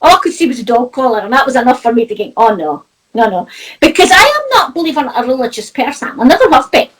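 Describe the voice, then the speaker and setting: Scottish doric lady, chatty medium, high voice that swoops around a lot. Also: very animated, fast talker, breathy edge, distant mic, low-quality recording. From Scotland. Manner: chatty, laughing, tells anecdotes with lots of "you know". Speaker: spiritual medium and host. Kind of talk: casual chat about spirituality.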